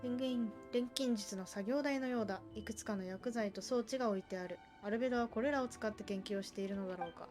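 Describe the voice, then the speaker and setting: A woman streams a game with casual, running commentary. She speaks very fast at an average pitch into a streaming microphone. Faint game music sits behind her. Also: a little mellow. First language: Japanese.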